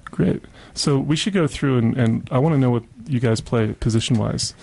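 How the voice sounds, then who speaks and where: A man's voice: mid-range voice, moderate pace, vocal fry, a little mellow, rough recording. An American man hosting a casual community radio interview, chatting and murmuring agreement as he goes. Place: radio studio.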